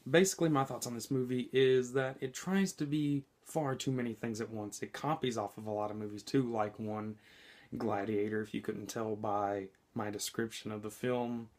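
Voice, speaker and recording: A man with a hoarse, slightly scratchy voice from being sick, chatting informally through a movie review with frequent ums and self-corrections. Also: medium pitch, fairly even intonation, moderate pace. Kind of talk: casual spoken movie review.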